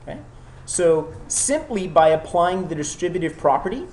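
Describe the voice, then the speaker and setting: A math teacher with a cold works through problems in front of a class at a middle pitch. The teacher is patient and encouraging, often checks that students follow, and asks them questions as they go. Faint noise sits under the voice. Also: big pitch swings, normal speed, darkish tone, poor audio. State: has a cold.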